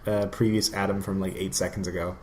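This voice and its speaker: A man's voice: sits low, level tone, quick pace, a little mellow, slight background noise. A man co-hosting a casual video game podcast, talking in a wry, joking, rambling way. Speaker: podcast co-host. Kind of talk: casual chat about video games.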